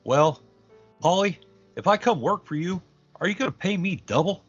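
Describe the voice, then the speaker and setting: Man doing petulant referee, wildly swooping pitch. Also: animated, moderate pace, dull audio, faint music behind. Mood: indignant. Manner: indignant, melodramatic. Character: Dennis, petulant, self-important wrestling referee. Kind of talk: comedic audio play acting.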